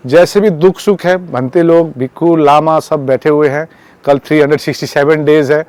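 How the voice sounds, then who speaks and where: A man speaking as a protest organiser, giving a campaign statement in a halting, repetitive way and mixing in English words. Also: a little high, steady tone, darkish tone, clean tone, no room sound. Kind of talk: spoken public statement on a campaign.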